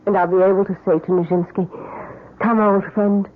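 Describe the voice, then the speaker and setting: An actress playing a gentle, doting middle-aged wife in an old-time radio drama, solicitous, dreamy and genteel. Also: female voice, medium pitch, flat delivery, moderate pace, lightly acted. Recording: tinny vintage radio broadcast.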